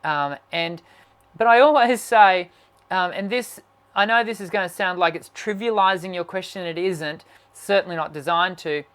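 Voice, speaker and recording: A man's voice: normal speed, fairly bright tone, clean tone. A man, a singing teacher hosting a casual live stream, musing aloud and reading out viewer questions. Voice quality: naturally high voice. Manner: musing, reads viewer comments aloud, many fillers and restarts.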